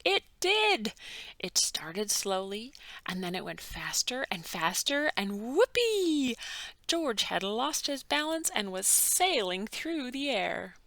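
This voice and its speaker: A woman narrating a children's bedtime story with animated, dramatic emphasis and drawn-out words. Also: a little high, dry recording. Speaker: children's book read-aloud narrator.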